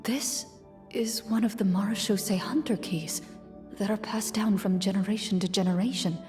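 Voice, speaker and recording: A woman voicing a composed, serious hunter who narrates a tabletop adventure in a video game, measured and formal. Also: mid-range voice, steady tone, darkish tone, soft background music, natural voice. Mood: pensive.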